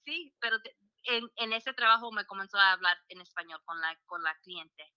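Very bright, halting woman in her forties. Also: medium pitch, smooth, muffled recording. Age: 40s. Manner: halting, restarts, switches between Spanish and English. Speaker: guest. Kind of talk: casual interview chat.

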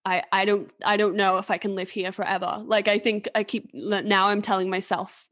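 A woman's voice: medium pitch, little pitch movement, moderate pace. Woman from England, rambling, restarts a lot, casual podcast chat. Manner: rambling, restarts, soft laughs.

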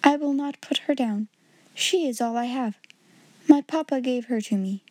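A solemn little orphan girl from a storybook, acted by a woman narrator. She speaks in a high, quiet, steady voice, proud and a little mournful, recorded very dry. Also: moderate pace, no room sound. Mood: steady, sad.

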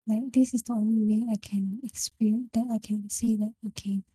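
Hesitant Singaporean woman, dark husky voice. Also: a little high, steady tone, dry recording, low-quality recording. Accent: Singaporean English. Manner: halting, searching for words. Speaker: book club member. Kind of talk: casual book discussion.

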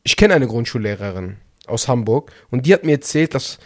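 A man's voice: mid-range voice, animated, brisk, no room sound, studio quality. A young man co-hosting a casual podcast, probing his partner with pointed, sometimes teasing questions. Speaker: podcast co-host.

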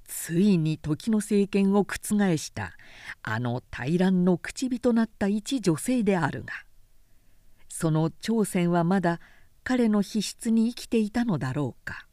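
A woman reading a historical novel aloud as a narrator, in a measured, deliberate storytelling manner. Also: medium pitch, expressive, warm tone, dry recording, clean studio sound. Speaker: narrator, literary reader.

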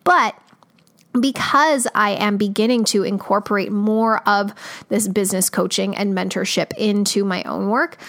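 A woman's voice: mid-range voice, fairly bright tone. Upbeat woman with a scratchy voice. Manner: upbeat, chatty, lots of fillers. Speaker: podcast host. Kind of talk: casual podcast intro and interview.

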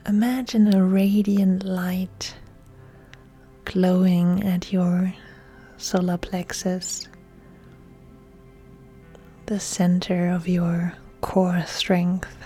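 Slow, breathy woman guiding relaxation. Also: fairly low pitch, flat delivery, soft background music. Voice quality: soft, breathy. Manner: soothing, hushed, often near-whispered delivery. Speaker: yoga instructor. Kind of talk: guided relaxation meditation.

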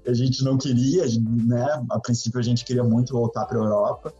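Mellow man in slightly muffled audio. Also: mid-range voice, fairly quick, faint music behind. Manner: relaxed, rambling storytelling with fillers. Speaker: podcast guest. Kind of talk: casual chat.

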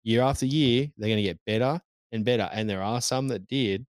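A man's voice: mid-range voice, brisk, dry recording. A man, co-hosting a casual podcast chat, blunt and sweary. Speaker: podcast co-host.

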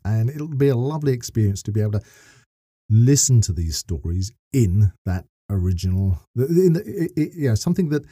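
A man's voice: mid-range voice, very animated, moderate pace, mellow, breathy edge, no room sound, studio quality. A middle-aged man speaking earnestly as a podcast guest, explaining his work as a voice actor and narrator in wordy, often rephrased sentences.

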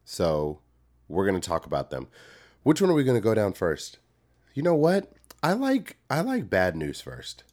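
A man co-hosting a podcast in casual chat, hoarse from being sick, playful and rambling. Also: fairly low pitch, huge pitch swings, normal speed, darkish tone. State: hoarse from illness. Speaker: podcast co-host.

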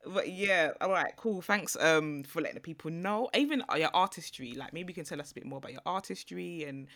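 A woman's voice: sits low, brisk, fairly bright tone. A woman co-hosting a podcast, chatting casually as she puts questions to a guest. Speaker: podcast co-host. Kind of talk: casual chat interview.

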